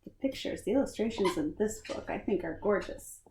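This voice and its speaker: A woman reading children's stories aloud in an expressive, deliberate storytime style, acting out the words as she goes. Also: medium pitch. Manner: animated, deliberate, acts out lines and sound words. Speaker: storytime reader for a library. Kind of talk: expressive children's picture-book read-aloud. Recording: roomy echo, faint background noise.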